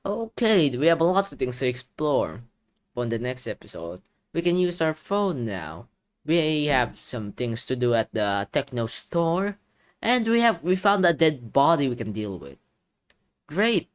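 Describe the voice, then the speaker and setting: A man's voice: mid-range voice, measured pace. A man doing playful game commentary, reading story text aloud and reacting with exclamations, his voice a little tired and strained. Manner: animated, exclaiming reactions between read passages. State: voice tiring and strained. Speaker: gaming video creator.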